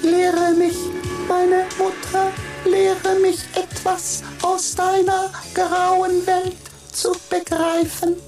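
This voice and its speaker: Super high flat kiddy voice, some precious little gem thing, asks stuff real slow, music under it. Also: female voice, heavily acted. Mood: pleading. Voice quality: very high, thin, flat childlike voice. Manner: slow, pleading, earnest questions. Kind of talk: radio play acting. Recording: music behind the voice.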